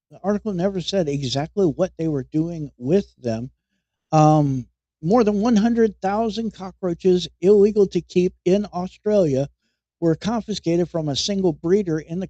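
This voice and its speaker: Slow, wry man. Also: high-pitched, a little mellow, dry recording, highs cut off. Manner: wry and mocking, chuckles at his own jokes; halting, repeats words as he goes. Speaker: podcast host. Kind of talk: comedic news commentary.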